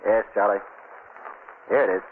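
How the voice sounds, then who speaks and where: An actor playing a stern, resigned prison warden, firm and reproving, in a 1940s radio drama. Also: male voice, lowish voice, moderate pace, natural voice. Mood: grave. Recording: narrow, hissy 1940s radio recording.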